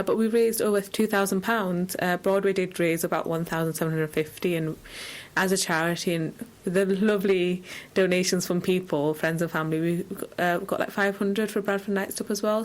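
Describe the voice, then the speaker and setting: A woman's voice: fairly low pitch, fairly even intonation, a little fast, hardly any pauses. A woman giving informal, explanatory answers as a charity guest in an interview.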